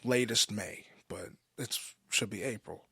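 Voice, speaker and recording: A man in his mid twenties giving a personal podcast monologue, halting and often restating himself for emphasis. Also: on the low side, gravelly, bright, forceful tone, breathy edge, dry recording, clean studio sound. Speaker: podcast host. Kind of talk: personal monologue, confessional storytelling.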